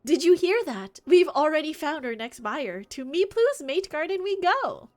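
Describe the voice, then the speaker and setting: A woman's voice: high-pitched, big pitch swings, moderate pace, pitched above the performer's own voice. Woman reading as an eager fantasy game adventurer. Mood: excited. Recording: music behind the voice.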